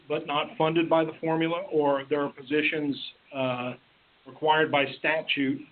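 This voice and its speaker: Man, fairly high pitch, conversational meeting discussion, phone-like narrow audio. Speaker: meeting participant. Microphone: telephone. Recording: tinny conference phone line.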